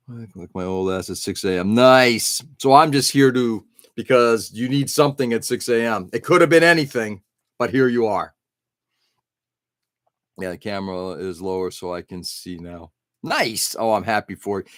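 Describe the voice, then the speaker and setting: A man's voice: on the low side, animated, moderate pace, fairly bright tone, no echo, studio quality. A middle-aged man hosting an early-morning live stream, rambling casually and answering viewers' chat comments.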